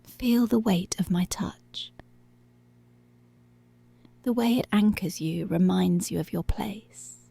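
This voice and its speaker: Seductive, controlling policewoman played by a woman for ASMR roleplay: mellow, creaky, half-whispered, teasing, close-miked. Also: mid-range voice, takes it slowly, long pauses, exaggerated voice. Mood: intimate, commanding. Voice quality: breathy, often slipping into whisper. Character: dominant, commanding, seductive policewoman. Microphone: close microphone.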